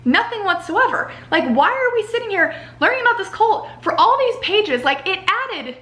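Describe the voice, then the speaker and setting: A woman's voice: very high-pitched, big pitch swings, normal speed, fairly bright tone. A young woman giving a casual, rambling book-review vlog, blunt and dramatic in her emphasis, leaning heavily on filler words. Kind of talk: casual, chatty opinion review to camera. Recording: echoey untreated room.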